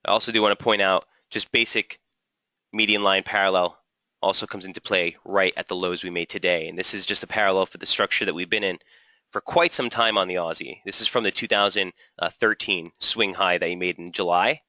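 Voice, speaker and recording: A man hosting a live trading webinar, slightly hoarse, walking through charts and answering viewers' typed questions. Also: deep voice, steady tone, brisk, bright, forceful tone, no room sound, muffled recording. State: slightly hoarse, losing his voice. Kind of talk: live market analysis webinar.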